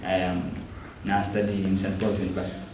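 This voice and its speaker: A man of twenty-four with a Kenyan accent, a student giving halting interview answers. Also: low voice, monotone. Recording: very echoey room, distant mic, noisy.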